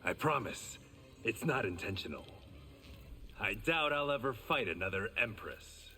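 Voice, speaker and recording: A man's voice: on the low side, animated, a little slow, bright, forceful tone, a little hiss or hum. An actor playing a tough, wry reformed criminal swordsman with dry, confident banter in a video game. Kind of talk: video game fight intro acting. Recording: long hall reverb.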